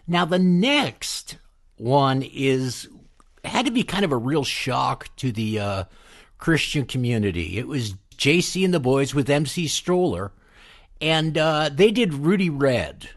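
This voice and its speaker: Male podcast host, animated pitch, casual and jokey, close dry sound. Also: slow, no echo. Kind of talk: casual music interview and sponsor read.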